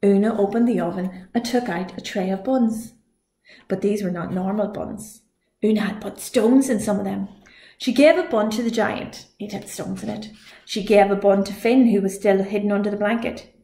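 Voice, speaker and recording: A woman reading a children's story aloud in an expressive storytelling way. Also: medium pitch, normal speed, slight background noise. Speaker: librarian reading a picture book. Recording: echoey room.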